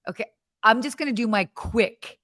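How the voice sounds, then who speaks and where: A woman's voice: fairly high pitch, moderate pace, pushed and bright, no echo, clean studio sound. A woman in her thirties from the United States, co-hosting a live hospitality show in a bubbly, chatty way with lots of fillers and restarts. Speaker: podcast co-host. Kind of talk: casual live-show chat.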